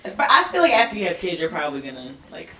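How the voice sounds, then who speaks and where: Low, laid-back young woman, off-mic. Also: racing, distant mic, a little hiss or hum. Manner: laid-back, monotone, trailing off. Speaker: podcast co-host. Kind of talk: casual chat. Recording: roomy and off-mic.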